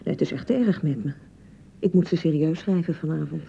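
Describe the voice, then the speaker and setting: A woman's voice: sits low, a little fast, vocal fry, warm tone, breathy edge, exaggerated voice. A woman acting a touchy, hurt and unsure young newlywed bride in a radio drama, defensive and hesitant in manner. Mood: nervous, flustered. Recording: dull, slightly muffled 1990 radio broadcast.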